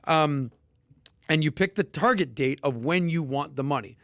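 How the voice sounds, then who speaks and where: A man hosting a casual podcast and explaining investing in an emphatic, animated way, with sudden loud bursts. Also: fairly high pitch, moderate pace, gravelly, no room sound, studio quality. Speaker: podcast host.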